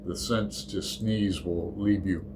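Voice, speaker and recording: A man's voice: deep voice, steady tone, slow pace, background noise. A man hosting his book channel, chatting through a tag in a rambling, hesitant way with lots of fillers. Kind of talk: casual book tag chat.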